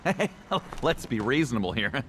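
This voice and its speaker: A man playing a smug, cowardly supervillain in a video game cutscene, glib and easily rattled, with a creaky voice. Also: medium pitch, sing-song, moderate pace, very noisy, natural voice. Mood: panicky. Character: cowardly, smug male supervillain speedster, small-time crook.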